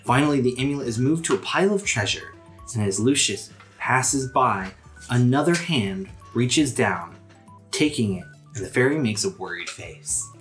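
A man's voice: medium pitch, expressive, normal speed, fairly bright tone. Man narrating a tabletop game over music. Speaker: game master and podcast host. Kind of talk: narrating a tabletop role-playing game, mixing scripted scenes and casual banter.

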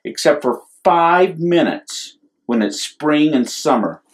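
A man's voice: fairly high pitch, very animated, takes it slowly. Man reading as an emphatic know-it-all American boy. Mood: alarmed warning. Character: gloomy know-it-all boy with wild imagined warnings. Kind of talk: picture book storytelling.

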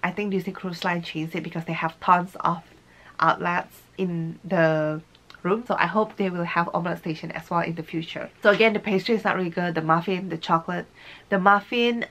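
Lady in her 30s chatting to camera, kinda low voice, casual vlog review. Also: a bit bright. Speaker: vlogger. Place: small room at home, indoors.

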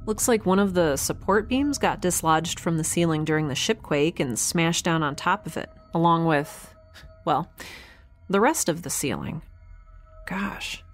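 A woman playing a young, geeky, kindhearted space scientist in an audio drama, recording an informal log in a halting, self-correcting way. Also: low-pitched, lively intonation, soft background music. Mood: curious, observing.

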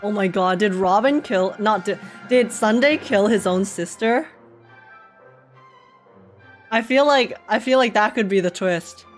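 A woman's voice: medium pitch, unhurried, long pauses. Chatty young woman streaming a game. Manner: chatty, giggly. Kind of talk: casual game reaction commentary with dialogue read aloud. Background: game music.

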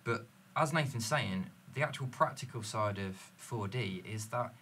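Low-voiced man explaining business. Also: animated, normal speed, a bit bright, slight background noise. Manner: fluent, explanatory, storytelling. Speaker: company representative. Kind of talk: panel discussion. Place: dim studio lounge with screen.

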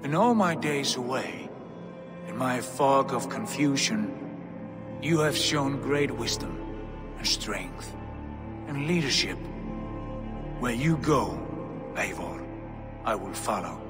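A stern Viking jarl, grave and fatalistic, each line weighed and measured. His voice carries a long, hall-like echo. Swelling music plays behind him. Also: medium pitch, lively intonation. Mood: solemn. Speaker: Viking leader. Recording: reverberant game mix with music.

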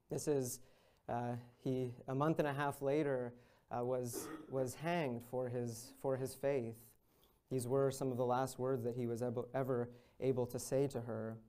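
A young man, a visiting minister, preaching a sermon at a pulpit in an earnest, measured way that rises on exhortations. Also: medium pitch, warm tone. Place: church room with large windows, at a pulpit.

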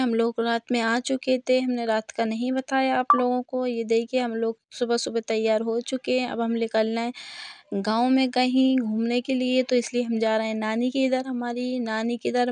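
A woman's voice: fairly high pitch, darkish tone, no room sound. A woman, narrating a family vlog in a casual way. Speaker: vlogger.

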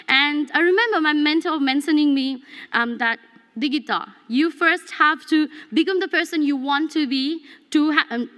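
A woman's voice: sits high, sharp tone. A young woman giving an earnest prepared graduation speech at a lectern mic, speaking English as her second language with small restarts. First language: not English. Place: large convention hall, at a lectern. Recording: long hall reverb.

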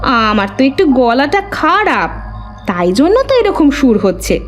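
A woman's voice: high voice, very animated, measured pace, soft background music. A woman voicing a coy, evasive village wife possessed by a ghost in a cartoon. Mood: coy, excusing.

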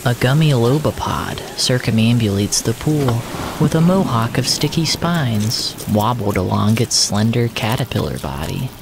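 Slow, deliberate male storyteller over ambient noise. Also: medium pitch, animated, creaky. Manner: deliberate, descriptive delivery in short fragments. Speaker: narrator. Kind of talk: first-person surreal audio fiction narration.

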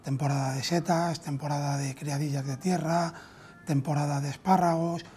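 A Castilian Spanish man in his thirties talks conversationally as a restaurateur being interviewed. He is miked on a lapel in a dining room, with music clearly playing behind him. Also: fairly high pitch, fairly even intonation, normal speed, darkish tone. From Spain. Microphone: lapel mic.

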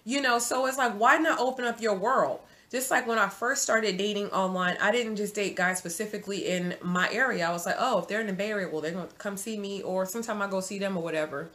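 A woman's voice: mid-range voice, fast, hardly any pauses. Chatty woman in her forties. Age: 40s. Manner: chatty, animated, addresses viewer as sis. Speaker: vlogger. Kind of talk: casual advice to viewers. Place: home room, indoors.

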